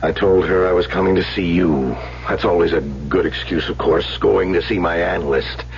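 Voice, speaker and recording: A bitter, needling older man on the analyst's couch, low voice, muffled old radio drama. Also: steady tone, normal speed, gravelly. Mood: wry, confessing. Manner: sardonic, prickly. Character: bitter, needling, troubled older male therapy patient near sixty. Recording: muffled, hissy old radio broadcast recording.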